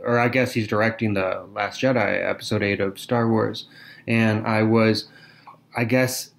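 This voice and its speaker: A man's voice: fairly low pitch, vocal fry. A man co-hosting a podcast, chatting casually and thoughtfully. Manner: conversational, thoughtful, with fillers. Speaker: podcast co-host. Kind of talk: casual chat.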